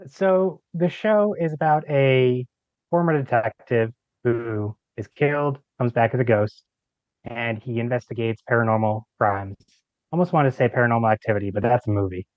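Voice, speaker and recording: A man's voice: medium pitch, normal speed, no echo. Man, interview guest, casual chat, hesitant and lightly amused, dry close sound, slightly lo-fi. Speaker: audio drama creator, podcast guest. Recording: slightly dull lo-fi microphone sound.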